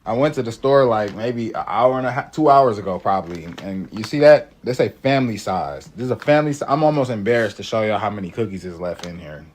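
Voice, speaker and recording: Male, slightly low voice, casual confessional chat, faint background noise. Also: animated, fast talker, hardly any pauses, a little mellow.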